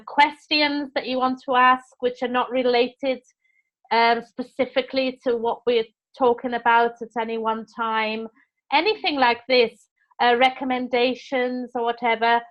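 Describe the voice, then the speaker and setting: A woman with a Welsh accent leads an online course over a video call, her voice sitting slightly high. She talks in a warm, encouraging way with frequent restarts. The call audio sounds dull and lo-fi. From Wales. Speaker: course tutor. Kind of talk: live online teaching session.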